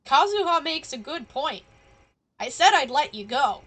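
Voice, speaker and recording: A woman's voice: sits high, big pitch swings, a little slow, fairly bright tone. A woman live streamer giving casual, playful, chatty commentary over a game and reading lines aloud. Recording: faint game music behind.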